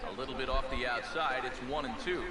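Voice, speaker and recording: A man calling baseball play-by-play for a video game in a polished, measured broadcast delivery. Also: mid-range voice, fairly even intonation, a loud crowd. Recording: game audio in echoey, very noisy room.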